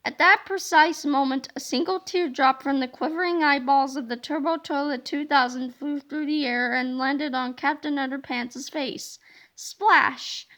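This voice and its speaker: Female storyteller for children's audiobook: animated, dramatic delivery, high register, bright, forward tone. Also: measured pace. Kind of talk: animated children's book read-aloud.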